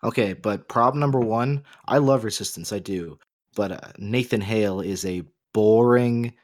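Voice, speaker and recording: A man's voice: fairly low pitch, normal speed, no echo. A man co-hosting a podcast, talking casually but articulately as he argues his opinions with emphatic stress. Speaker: podcast co-host.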